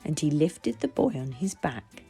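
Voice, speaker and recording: A woman narrating a children's storybook aloud in an expressive read-aloud style. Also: low voice, unhurried, breathy edge, faint music behind.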